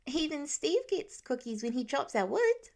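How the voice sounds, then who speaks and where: A woman's voice: high voice, sing-song, normal speed, vocal fry, darkish tone, voice pushed up, heavily acted. A woman playing an eager, chatty little boy in an audio story, with a childlike manner.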